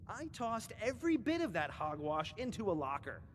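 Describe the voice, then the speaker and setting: A woman's voice: medium pitch, sing-song, normal speed, big-room sound, slight background noise, close to an everyday voice. A woman playing an eccentric, scatterbrained, book-obsessed librarian in a video game, rambling in sing-song rhymes and wordplay. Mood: agitated, evasive. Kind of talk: theatrical video game character acting.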